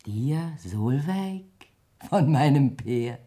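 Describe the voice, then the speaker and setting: An actress playing a worn, doting old peasant mother, husky and lamenting, declaiming in a radio verse drama. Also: extremely low pitch, lively intonation, very slow, warm tone, full character voice. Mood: wistful, rueful. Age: older.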